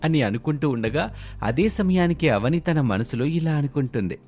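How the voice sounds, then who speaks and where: A man's voice: fairly high pitch, lively intonation, normal speed, a little mellow, faint music behind. A man narrating a scripted story as its narrator.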